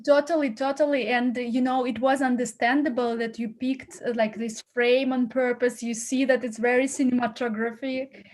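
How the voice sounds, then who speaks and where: A woman's voice: fairly high pitch, flat delivery, normal speed, hardly any pauses, darkish tone. A woman hosting as an acting coach, giving encouraging feedback with many fillers and repeated words. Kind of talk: casual feedback on a video call.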